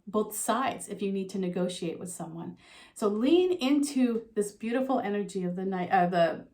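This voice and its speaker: A middle-aged woman giving a relaxed, talking tarot reading to her viewers, her voice a little froggy from a slight sore throat, with frequent ums and you-knows. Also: medium pitch. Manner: soft conversational, with frequent um and you know. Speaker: tarot reader.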